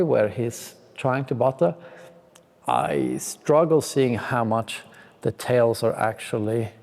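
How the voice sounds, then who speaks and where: Gravelly, mellow guy. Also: medium pitch. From Sweden. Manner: laid-back, slangy and enthusiastic. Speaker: pro skier. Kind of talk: casual reaction commentary on video clips.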